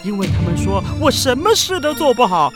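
A man's voice: very high voice, sing-song, brisk. A man voicing a vain, petty frog prince villain in a theatrical Taiwanese Mandarin children's radio drama. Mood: boastful, resentful. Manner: theatrical, grandiose. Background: music.

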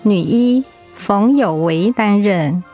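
A woman's voice: mid-range voice, lively intonation, takes it slowly, mellow, soft background music. A woman reading scripted radio drama credits as an announcer.

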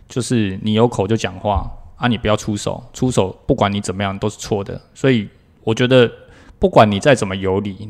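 A middle-aged man with a Taiwanese accent speaks as a podcast co-host. His voice sits a little low. He is conversational and plain-spoken, laying out his side point by point. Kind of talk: casual conversational discussion.

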